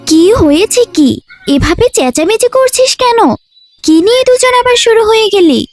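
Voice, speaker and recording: Woman as a high, lively cartoon animal mother. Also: moderate pace, faint music behind. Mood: scolding. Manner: expressive, motherly. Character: firm but indulgent animal mother telling stories. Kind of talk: cartoon storytelling.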